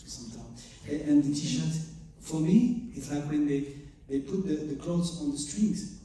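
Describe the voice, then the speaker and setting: Man, dark voice, echoey theater. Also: a little high, far from the mic. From Morocco. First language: not English. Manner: searches for English words, halting. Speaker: choreographer. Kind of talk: post-show Q&A answer. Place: theater stage. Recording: echoey theater heard from afar.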